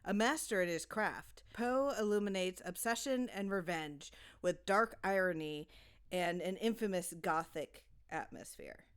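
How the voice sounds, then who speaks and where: A woman narrating a classic story in a dramatic audiobook style, with theatrical, deliberate phrasing. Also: on the low side, clean tone.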